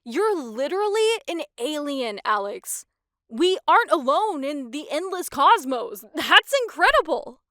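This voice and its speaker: Woman acting a nerdy teenage boy, eager, inquisitive, excitable, comic dub, high pitch, dry recording. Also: unhurried, no room sound, full character voice. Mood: excited, amazed.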